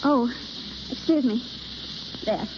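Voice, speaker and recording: A woman's voice: on the high side, slow. An actress playing a shy, eager young acting pupil in a 1930s radio play, with an earnest, girlish, hesitant delivery. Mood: flustered, apologetic. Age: young adult. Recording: muffled, hissy 1930s radio broadcast recording.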